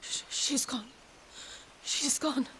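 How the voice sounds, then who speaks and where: A woman's voice: slow pace, pushed and bright, slight background noise. An actress playing a despairing, unhinged noblewoman villain in a video game, with a fraught, desperate delivery. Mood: frantic grief.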